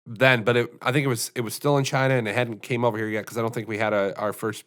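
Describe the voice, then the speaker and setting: An American man co-hosting a laid-back podcast, talking in loose, rambling stretches. He circles back often, fills pauses with ums and restarts his sentences mid-thought. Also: mid-range voice, fairly even intonation, a bit bright. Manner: rambling, many restarts and fillers. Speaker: podcast co-host. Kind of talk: casual chat.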